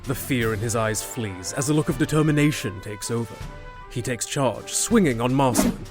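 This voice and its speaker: A man's voice: medium pitch, moderate pace. Male fantasy drama narrator, music underneath. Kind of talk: scripted story narration for fantasy audio drama.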